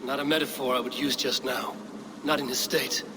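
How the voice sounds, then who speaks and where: An actor playing a steady Viking clan leader with a dry, wry and firm manner. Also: male voice, mid-range voice, moderate pace, close to an everyday voice. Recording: game cutscene with ambient noise.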